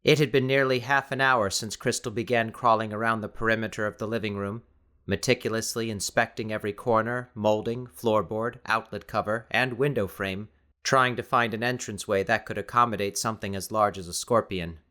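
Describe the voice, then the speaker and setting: Measured male audiobook narrator. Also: lowish voice, steady tone, pushed and bright. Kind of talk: scripted dramatic fiction narration.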